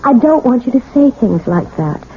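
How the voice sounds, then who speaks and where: An actress playing an anxious married piano teacher in a radio drama, halting and pleading as she hides a husband's abuse. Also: fairly high pitch, very animated. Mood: uneasy, restrained. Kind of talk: old-time radio drama acting. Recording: muffled narrowband radio recording with noise.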